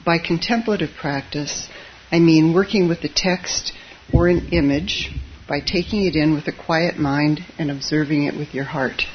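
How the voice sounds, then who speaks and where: A soft-spoken Zen teacher giving an informal dharma talk to a live audience, hesitant and gentle, with frequent fillers and wry asides. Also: moderate pace. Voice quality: soft voice that tends to drop low. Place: meditation hall with a live audience. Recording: dull lo-fi audio with faint hiss.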